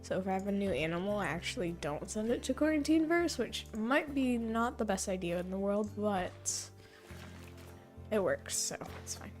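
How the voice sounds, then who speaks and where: Young woman streamer thinking aloud, slightly hoarse. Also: mid-range voice, lively intonation, moderate pace, a little mellow, soft background music. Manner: thinking aloud, rambling and self-correcting. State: voice fading, hoarse. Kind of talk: casual gameplay commentary.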